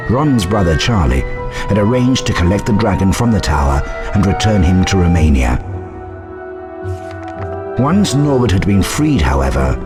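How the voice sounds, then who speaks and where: An actor playing the storyteller of a children's fantasy video game, reading scripted storybook narration. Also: male voice, low-pitched. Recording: music under the voice. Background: game music.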